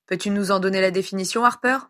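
A woman's voice: mid-range voice, fairly even intonation, fast, sharp tone, lightly acted. A woman voicing a formal male commander questioning trainees in a read-aloud story.

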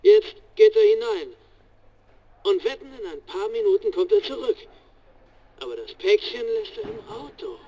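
An actor in a German radio crime drama playing an excitable police eavesdropper alerting his boss. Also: high-pitched, moderate pace, long pauses, breathy edge, heavily acted. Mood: excited. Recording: dull, band-limited sound.

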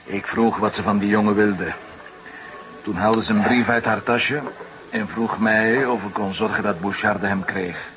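An actor playing a familiar, loyal but wary barkeeper in a radio drama, talking in a hesitant, evasive way. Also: male voice, fairly low pitch, fairly even intonation, unhurried, lo-fi sound, over music, lightly acted. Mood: guarded. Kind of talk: Dutch radio drama acting. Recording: noisy dated radio play recording.

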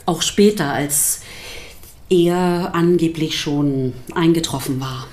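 A woman playing a composed pastor's wife in a German radio drama, giving testimony in a dry, measured, ironic way. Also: deep voice, a little mellow, a little hiss or hum. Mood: dry, discreet. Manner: dry, measured, delicately euphemistic. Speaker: actor in a radio play.

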